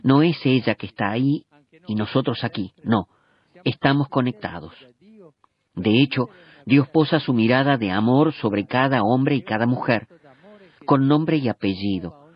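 Male voice-over interpreter, husky, measured, dull lo-fi sound. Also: medium pitch. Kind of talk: read translation of a homily.

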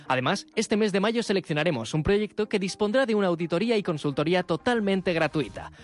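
A man reading radio ads in a clear, upbeat promotional way. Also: sits high, lively intonation, fast. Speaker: ad announcer. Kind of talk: scripted radio ad read. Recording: music bed behind.

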